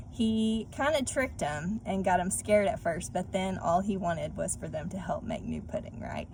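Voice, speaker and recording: A woman reading and discussing a children's story like a teacher, expressively and speaking directly to young listeners, with clear background noise. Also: mid-range voice, darkish tone, poor audio. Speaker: read-aloud teacher. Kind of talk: children's story read-aloud with questions to listeners.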